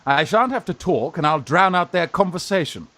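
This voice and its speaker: A man in a radio farce playing a young fellow who poses as a gruff, flustered old aunt, halting and blustering. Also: high-pitched, animated, pushed and bright. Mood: evasive, chatty. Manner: blustering, halting, repeats words. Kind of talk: stage farce radio acting.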